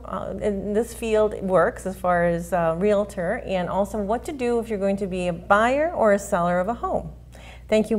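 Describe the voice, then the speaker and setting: A woman's voice: mid-range voice, darkish tone. A woman hosting a talk show, asking her guest chatty, encouraging strings of questions. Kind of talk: interview hosting.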